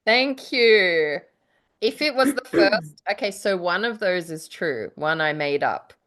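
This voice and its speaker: A woman in a casual chat between writers, telling stories in an effusive, animated way with the odd casual swear word. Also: fairly low pitch, slow.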